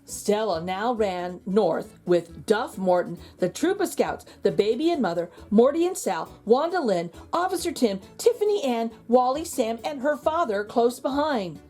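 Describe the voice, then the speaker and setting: Female read-aloud narrator for kids: highish voice, animated, emphatic, soft music behind. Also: a little slow, few pauses. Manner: expressive, emphatic. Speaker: children's book reader.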